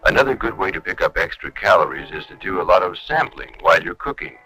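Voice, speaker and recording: Deep, animated American film narrator. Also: male voice, fast talker, rough recording. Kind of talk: scripted educational film narration. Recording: 1970s educational film soundtrack, faint music.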